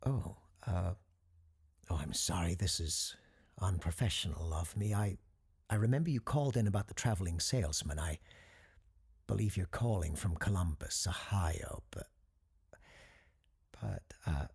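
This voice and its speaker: A man playing a flustered, forgetful parapsychologist who hosts a paranormal radio call-in in an audio drama, stammering apologetically in a gravelly, husky voice. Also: deep voice, lively intonation, unhurried, pauses often, darkish tone, full character voice. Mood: flustered, confused.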